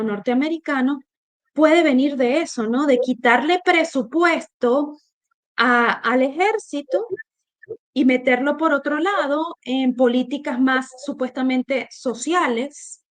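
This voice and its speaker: A woman from Venezuela with a Venezuelan accent, co-hosting a political discussion in an emphatic, opinionated way, often restarting her phrases. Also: high-pitched. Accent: Venezuelan Spanish. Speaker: co-host.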